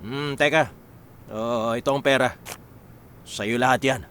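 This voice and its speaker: A man's voice: mid-range voice, fairly even intonation, measured pace, fairly bright tone, clean tone, close to an everyday voice. A man with a Filipino accent playing a shameless, glib, wheedling hustler in a radio drama. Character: shameless, wheedling dynamite seller, defensive and cajoling.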